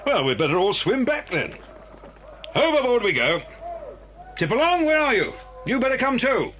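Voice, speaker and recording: Hearty British adventure hero, commanding, lively swooping delivery, noisy old cassette drama. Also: male voice, very high-pitched, normal speed, full character voice. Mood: brisk, confident. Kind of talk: children's audio drama acting. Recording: noisy 1980s cassette drama, engine ambience.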